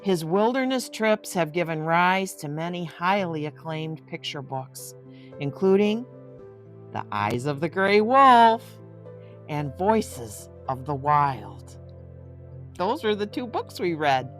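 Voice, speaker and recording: An older woman with a low voice hosts a storybook read-aloud. She takes her time and speaks directly to young listeners, adding effusive, chatty asides. Faint music plays behind her. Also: very animated, slow pace. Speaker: host reading picture books aloud.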